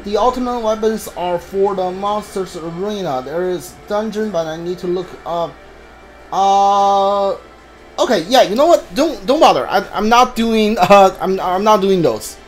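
Slow, hesitant gamer thinking aloud. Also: medium pitch, soft background music. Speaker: video game streamer. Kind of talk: casual gameplay commentary.

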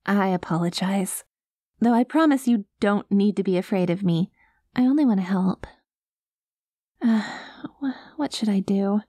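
A woman voicing a cute, gentle alien girl in an ASMR roleplay, naive and literal, with a hesitant, reassuring manner. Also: medium pitch, unhurried, no room sound, studio quality, close to an everyday voice. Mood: anxious, apologetic.

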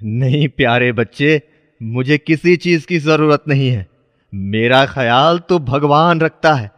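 A man's voice: mid-range voice, animated, slow pace, a bit bright, no echo, in a large hall. A man voicing a carefree, kindly old mystical beggar with a fatherly manner in a children's moral story. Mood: kindly concern. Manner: benevolent, fatherly.

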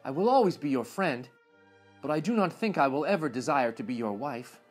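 Tender-hearted young fairytale heroine, read by a man, soft and pleading, with a husky edge. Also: mid-range voice, lively intonation, a little fast, soft background music. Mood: gentle refusal.

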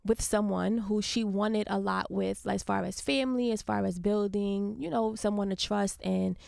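A young woman from Harlem talks in a soft, laid-back way, with a gravelly edge under her voice. She sits at a studio boom mic, and the sound is dry and close. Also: steady tone, normal speed, dry recording. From Harlem, New York. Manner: laid-back, chatty, laughs often. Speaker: guest artist. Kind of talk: casual interview chat. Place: radio station studio. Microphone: studio mic on boom arm.

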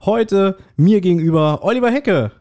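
A man's voice: high voice, animated, moderate pace, dark tone. A man hosting a podcast in casual, joking banter, teasing with a mock-serious manner. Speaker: podcast host.

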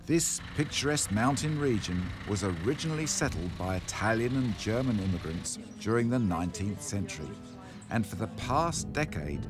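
Australian male documentary host, middle-aged, deliberate pace, lowish voice, over music. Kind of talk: documentary narration and presenting.

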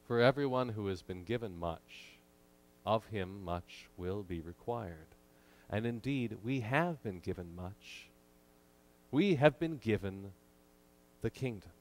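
A man in his thirties preaching a sermon from a church pulpit, measured and emphatic with frequent pauses, into a pulpit microphone. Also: lowish voice, a little mellow, rough recording. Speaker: pastor.

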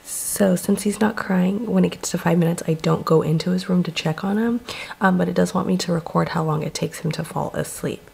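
A young woman, a stay-at-home mom, talking casually to camera in a home video diary, hoarse and rambling with many fillers. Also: lowish voice, fairly quick, darkish tone. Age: young adult. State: hoarse, losing her voice. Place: home, bedroom and kitchen.

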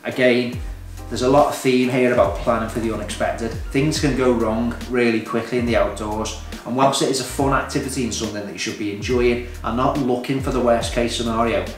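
A man's voice: medium pitch, steady tone, brisk, few pauses. A man in his thirties or forties giving matter-of-fact, informal hiking advice to camera, as a vlogger instructing beginners. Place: indoors, small home room at a table. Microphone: camera a few metres away. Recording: echoey room, distant mic, faint music.

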